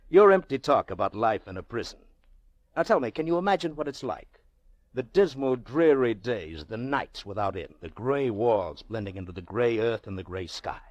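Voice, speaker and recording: A man playing a haughty, very wealthy middle-aged gentleman in an old-time radio drama, speaking in pompous rhetorical flourishes with drawn-out emphasis. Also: mid-range voice, lively intonation, dry recording, lo-fi sound, close to an everyday voice. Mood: proud, challenging. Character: haughty, very wealthy Russian gentleman, goading and theatrical.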